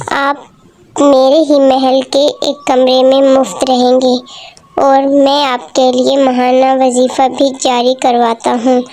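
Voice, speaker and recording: A performer voicing kindly, polite characters in a moral storybook tale, speaking in a measured, formal way. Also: high-pitched, darkish tone, a little hiss or hum. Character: kind, generous king and humble poor woodcutter. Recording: music behind the voice.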